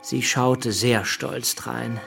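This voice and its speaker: Gravelly, dryly ironic revolutionary deputy. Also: male voice, medium pitch, flat delivery, drawn-out words, fairly bright tone, soft background music, full character voice. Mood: dry irony. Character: earnest revolutionary deputy writing letters home, dryly scornful. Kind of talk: radio drama letter reading.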